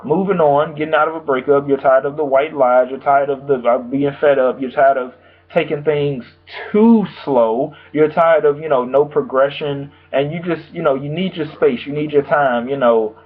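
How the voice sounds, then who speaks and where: A young man, slightly hoarse from a sore throat, giving a rambling, casual album review full of fillers. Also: on the high side, moderate pace. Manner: rambling, loose, full of "like" and "you know". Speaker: music reviewer. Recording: tinny phone-like sound.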